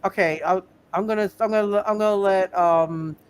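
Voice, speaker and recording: A man with a high-pitched voice runs a tabletop roleplay game as game master. He talks casually and haltingly, often repeating phrases as he works out the next move. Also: steady tone, a little hiss or hum.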